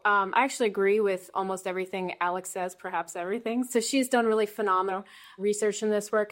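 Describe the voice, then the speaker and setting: Woman expert explaining in an interview. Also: medium pitch. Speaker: expert guest, researcher.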